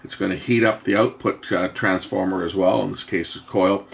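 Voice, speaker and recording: A man giving an unscripted, hands-on technical explanation in a halting way, often pausing and repeating himself to correct what he says. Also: low-pitched, fairly even intonation, vocal fry. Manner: halting, self-correcting, with frequent pauses and repeats. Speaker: host of an electronics repair channel.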